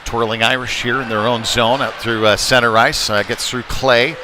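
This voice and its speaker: Male rinkside play-by-play commentator for youth hockey, mid-pitched, casual and halting, lifting with the play, noticeable arena noise. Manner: conversational, hesitant, rising excitedly on shots. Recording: noisy rink ambience behind voice.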